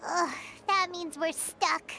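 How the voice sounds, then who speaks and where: A woman's voice: steady tone, slow pace, exaggerated voice. A woman voicing a small, chirpy, childlike fairy companion with blunt exclamations in a video game. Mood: puzzled, blunt. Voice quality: squeaky, childlike. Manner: exclaiming, refers to self in third person. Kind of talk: animated game dialogue. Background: prominent music.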